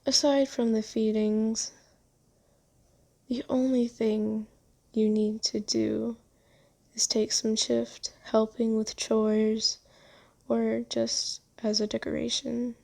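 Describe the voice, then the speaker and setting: A woman voicing a kind but possessive female vampire owner in an ASMR roleplay, soothing and genteel, coaxing gently in halting phrases. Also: fairly high pitch, little pitch movement, creaky, heavily acted. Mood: genteel, matter-of-fact. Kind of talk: ASMR roleplay, scripted monologue.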